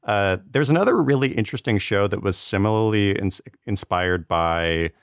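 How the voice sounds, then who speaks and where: A man's voice: fairly low pitch, moderate pace, clean tone, no echo, studio quality. A man talking casually as a podcast panelist, hedging and rambling, with "like" peppered through his sentences. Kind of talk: casual conversational discussion.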